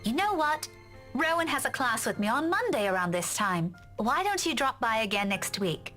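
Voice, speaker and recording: An actress playing a kind, apologetic young schoolteacher in a visual novel game. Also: female voice, fairly high pitch, sing-song, normal speed, a bit bright, faint music behind. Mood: apologetic, gentle.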